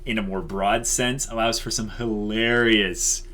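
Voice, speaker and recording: A man's voice: on the low side, fairly even intonation, unhurried, bright, forceful tone, slight background noise. Man casually explaining channel plans. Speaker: channel host. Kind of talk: casual discussion to viewers.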